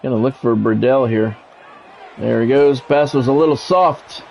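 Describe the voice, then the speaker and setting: A man calling live play-by-play of a football video game in measured, short clipped announcer phrases. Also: mid-range voice, vocal fry, darkish tone, a little hiss or hum. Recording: dull lo-fi audio with music behind.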